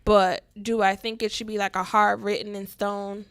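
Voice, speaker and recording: A woman's voice: mid-range voice, level tone, moderate pace, fairly bright tone, clear, resonant tone, no echo, clean studio sound. A woman co-hosting a casual chat, explaining her opinions at length and acting out little quotes. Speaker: co-host.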